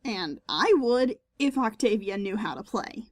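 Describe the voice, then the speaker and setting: A woman's voice: mid-range voice, lively intonation, measured pace, dry recording, clean studio sound. A woman voicing a taunting female pony musician, sarcastic and needling, in a dramatised story reading. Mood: snide.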